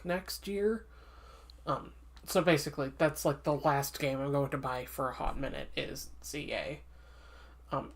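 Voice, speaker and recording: A woman streaming a game and chatting casually, slightly congested and sniffly, with lots of ums while giving candid opinions. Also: low-pitched. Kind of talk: casual gameplay commentary.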